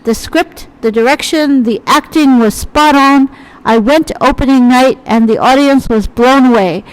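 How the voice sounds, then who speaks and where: High-voiced woman interviewer, casual and blunt. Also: measured pace, rough recording.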